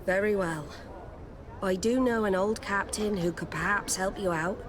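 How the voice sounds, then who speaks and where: A woman's voice: mid-range voice, normal speed, background noise, natural voice. An actress playing a guarded female admiral in a game, formal and clipped.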